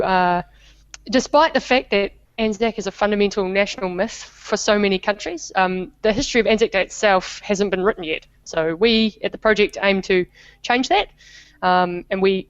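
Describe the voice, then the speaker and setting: A woman with a New Zealand accent, an academic guest giving measured, explanatory interview answers. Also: mid-range voice, clean tone, no echo, highs cut off. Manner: measured, explanatory, with small restarts.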